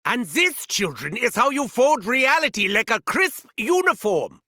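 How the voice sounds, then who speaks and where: An actor playing a gleefully sadistic German mad scientist villain in a video game, theatrical and grandiose, with a German accent and mocking, formal phrasing. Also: male voice, very high-pitched, very animated, measured pace, sharp tone, no echo, studio quality, full character voice. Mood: sinister, grandiose. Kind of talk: video game character voice lines.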